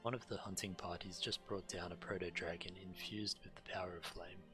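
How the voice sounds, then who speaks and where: A man's voice: low voice, steady tone, fairly quick. A man streaming a game and reading quest text aloud. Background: game music.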